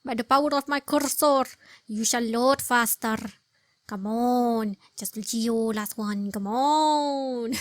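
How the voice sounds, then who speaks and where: A woman giving casual, expressive, rambling reaction commentary over a video game. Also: a little high, takes it slowly, no room sound. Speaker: gameplay streamer, commentator.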